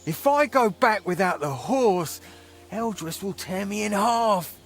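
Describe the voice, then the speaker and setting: A man's voice: sits high, animated, a little slow, faint music behind. A man playing a woeful, self-pitying young workshop helper who whines and stammers in a video game. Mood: miserable, defensive.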